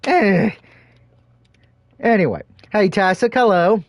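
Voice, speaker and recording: A man's voice: sits high, expressive, takes it slowly, creaky, no echo. A man streaming a game, chatting casually between read-aloud passages with crude, joking banter. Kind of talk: casual let's-play commentary and reading aloud.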